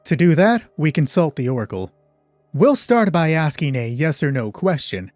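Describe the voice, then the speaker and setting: A man narrating a solo tabletop horror roleplay as game master in measured, short descriptive phrases. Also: on the high side, very animated, darkish tone, no echo. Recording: music behind the voice. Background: music.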